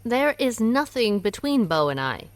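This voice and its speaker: Woman actor as a quick-witted radio-play sleuth who runs a restaurant: wry, curious, with plenty of melody in her delivery. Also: a little high, sing-song, no echo. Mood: thoughtful. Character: shrewd woman restaurant owner, amateur sleuth. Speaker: restaurant owner. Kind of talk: radio drama acting.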